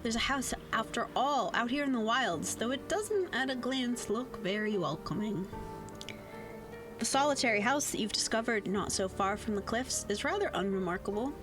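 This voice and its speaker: A woman reading as a visual novel's narrator in a measured, literary, wry and gently ominous storytelling manner. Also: medium pitch, expressive, lightly acted. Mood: wry, foreboding. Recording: music under voice.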